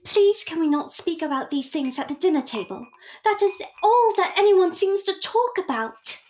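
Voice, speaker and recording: A woman's voice: very high voice, lively intonation, normal speed, darkish tone. A woman playing a sensitive young Victorian daughter, plaintive and formal, in a period audio drama. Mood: fed up.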